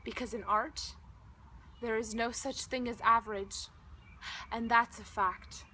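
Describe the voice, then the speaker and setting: A young woman reciting slam poetry in an impassioned, emphatic, rhythmic way with dramatic pauses. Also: on the high side, fairly even intonation, pushed and bright, a little hiss or hum. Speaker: slam poet.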